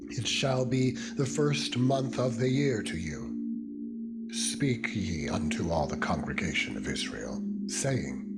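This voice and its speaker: A man narrating scripture in a solemn, measured and deliberate dramatized reading style. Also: lowish voice. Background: loud meditative music with ringing tones.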